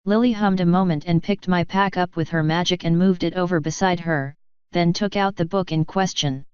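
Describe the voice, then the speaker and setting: A woman's voice: lowish voice, normal speed, darkish tone, clear, resonant tone, no echo, lo-fi sound. A woman narrating a first-person fantasy story as an audiobook reader. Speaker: audiobook narrator of fan fiction.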